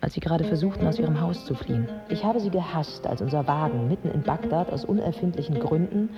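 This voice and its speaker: An actress playing a proud, angry yet compassionate Iraqi woman in her twenties, in a measured radio drama monologue. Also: low-pitched, expressive. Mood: firm, bitter. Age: 20s. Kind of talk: literary first-person radio drama monologue. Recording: dull sound with music underneath.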